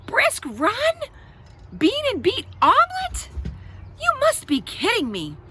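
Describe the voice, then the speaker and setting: A woman's voice: extremely high pitch, huge pitch swings, slow pace, noisy background, voice pushed up, full character voice. A woman voicing a defiant, scheming young girl in a children's storybook, dramatic and insolent. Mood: screeching.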